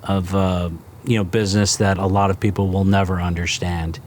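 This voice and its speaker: A man in his fifties with a slightly low voice, talking by a fire pit outdoors. He circles his points with halting fillers and restarts. Faint outdoor ambient noise sits under the recording. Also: level tone. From New Jersey. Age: 50s. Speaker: restaurant founder guest. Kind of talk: casual fireside interview.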